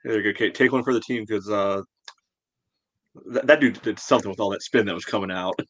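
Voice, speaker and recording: A man's voice: lowish voice, fairly quick, a bit bright, a little hiss or hum. A man co-hosting a sports podcast, chatting casually in a wry, informal way. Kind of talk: casual soccer fan chat.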